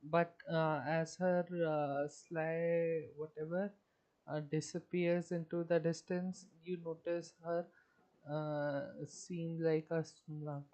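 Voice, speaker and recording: A streamer reading game text aloud in a casual, halting way, stumbling over words. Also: mid-range voice, level tone, a little mellow, rough recording.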